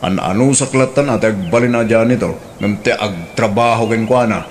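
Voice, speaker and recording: A man's voice: fairly low pitch, moderate pace, natural voice. A man playing a practical, grumbling older brother and family man in a radio drama, with an Ilocano accent. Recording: faint background noise.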